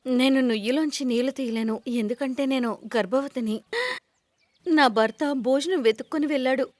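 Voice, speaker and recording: A woman's voice: high voice, moderate pace, darkish tone, dry recording, natural voice. A woman voicing a weak, thirsty pregnant wife pleading for help in a cartoon story.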